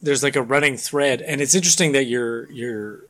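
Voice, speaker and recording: A man's voice: medium pitch, normal speed, fairly bright tone. A man hosting a podcast, chatting casually and thinking aloud as he rambles through a story. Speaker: podcast host.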